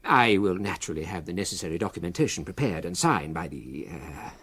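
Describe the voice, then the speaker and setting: A man's voice: low-pitched, expressive, a little fast, fairly bright tone, heavily acted. A man with a British accent playing an officious, conspiratorial supervisor with a formal, precise manner in a radio comedy. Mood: confidential, grave. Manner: formal, smooth-talking, precise.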